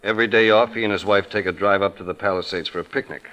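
An actor playing a laconic, wry police detective with clipped, understated delivery, in old radio drama style. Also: male voice, on the low side, fairly even intonation, fast talker, bright, forceful tone, poor audio. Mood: grave. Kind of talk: 1940s-50s radio drama acting.

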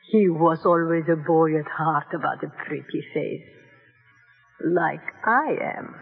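Through a muffled, phone-like old radio with long reverb comes an elderly lady. She is husky and high-voiced, theatrical and coquettish, with a proud, defiant streak. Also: full character voice. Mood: mournful, coquettish. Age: older. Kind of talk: 1940s-50s radio drama acting.

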